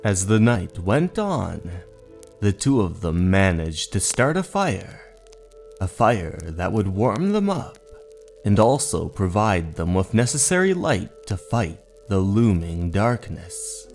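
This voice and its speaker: A man narrating a scripted horror story as the host, with a dramatic, drawn-out storytelling delivery. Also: sits low, very animated, gravelly, darkish tone. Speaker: narrator and host of manga readings. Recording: music under the voice. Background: background music.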